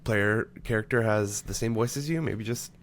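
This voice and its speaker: A man with a very deep voice lays out his advice on roleplay in long, hedging sentences full of "um" and "maybe". He speaks in a relaxed podcast manner. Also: moderate pace. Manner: explains at length, hedging with fillers. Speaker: podcast co-host and player. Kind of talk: casual tabletop gaming discussion.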